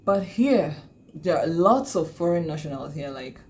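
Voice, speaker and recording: A woman's voice: sits low, animated, moderate pace, a little mellow, slight background noise. A Nigerian woman talking casually to her viewers, chatty and laughing often, with many fillers. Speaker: vlogger. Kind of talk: casual talk to camera.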